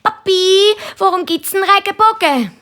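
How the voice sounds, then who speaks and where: A woman's voice: very high-pitched, normal speed, sharp tone, pitched above the performer's own voice. A woman voicing cheeky, naive little schoolchildren in jokes, with a Swiss accent and an eager, sing-song way of talking. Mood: curious, insistent.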